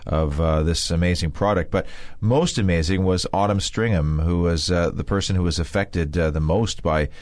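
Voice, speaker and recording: A man's voice: sits low, normal speed, a little mellow, no room sound. A man hosting a radio health show, recapping guests and reading plugs with many fillers. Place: radio studio.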